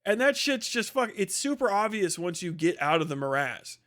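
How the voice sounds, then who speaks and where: A man's voice: high voice, sing-song, moderate pace, a bit bright. A man hosting a livestream, ranting casually with strong opinions in a blunt, profane, dismissive manner. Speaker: livestream host.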